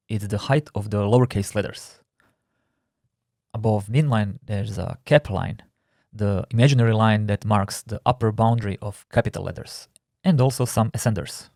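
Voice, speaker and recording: A man's voice: fairly low pitch, gravelly, a little mellow, no room sound, studio quality. A man with a Croatian accent, a non-native English speaker, explaining typography terms in a careful recorded course narration. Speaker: typography instructor.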